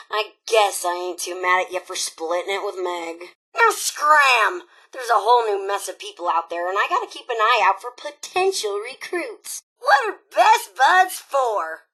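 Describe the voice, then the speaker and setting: Scheming american robot raider girl for a game, sarcastic and taunting, bright piercing raspy voice. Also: a little high, full character voice, poor audio. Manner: sarcastic, taunting, theatrical drawl. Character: cocky, scheming female robot raider radio broadcaster. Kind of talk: video game character dialogue.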